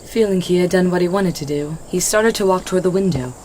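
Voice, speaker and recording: Lower-voiced woman narrating a story. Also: quick pace, dark tone, a little hiss or hum, poor audio.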